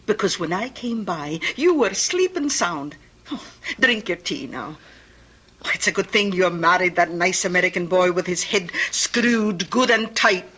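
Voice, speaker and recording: A bright-voiced older Scottish aunt. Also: female voice, fairly low pitch, expressive, heavily acted. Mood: soothing, brisk. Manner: motherly, folksy, brisk. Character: cheery, bossy, affectionate older Scottish aunt. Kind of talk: radio drama acting. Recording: old radio broadcast.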